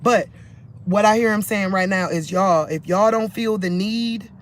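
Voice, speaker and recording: Man, high-pitched voice, religious vlog, phone recording, rambling, lots of fillers and restarts. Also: measured pace. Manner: conversational, restarts sentences, many fillers.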